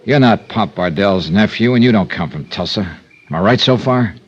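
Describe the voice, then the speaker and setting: An actor playing a hard-boiled, dryly sardonic American investigator, performing and narrating a vintage radio detective drama. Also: male voice, on the low side, expressive, normal speed, slight background noise, close to an everyday voice. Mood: cool, accusing. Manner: dry, sardonic. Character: wisecracking hard-boiled freelance insurance investigator, cool under threat. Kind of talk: 1950s American radio drama acting and first-person narration. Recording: muffled old radio recording.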